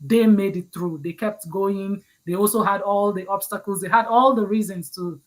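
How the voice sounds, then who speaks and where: A woman's voice: mid-range voice, fairly even intonation, moderate pace, low-quality recording. A woman from Nigeria, hosting a livestream interview over a video call and reading out audience comments. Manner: encouraging, repeats words while gathering thoughts. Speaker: host.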